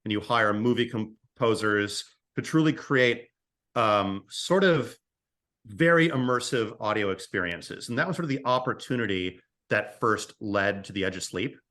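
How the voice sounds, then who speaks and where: Bright-voiced man answering interview questions. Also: fairly low pitch. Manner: halting, thinking aloud in short phrases. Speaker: podcast guest, screenwriter and co-creator of an audio drama. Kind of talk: casual interview storytelling.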